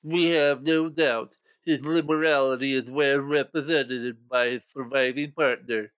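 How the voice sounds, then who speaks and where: A man voicing a polite middle-aged gentleman collecting for charity, formal and courteous. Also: medium pitch, fairly even intonation, unhurried, clean tone, no echo, lightly acted. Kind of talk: storybook reading.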